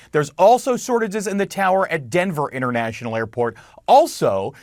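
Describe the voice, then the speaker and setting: A man's voice: mid-range voice, dry recording, studio quality. An American man reporting as a television news correspondent in a broadcast style.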